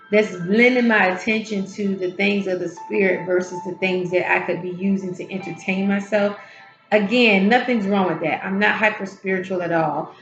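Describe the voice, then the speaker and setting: A woman hosting a tarot and spiritual channel, her voice a little hoarse, talking slowly and encouragingly from her home healing space. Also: medium pitch, reverberant, muffled recording. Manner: soft, unhurried, encouraging, with drawn-out pauses. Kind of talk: casual spiritual encouragement talk.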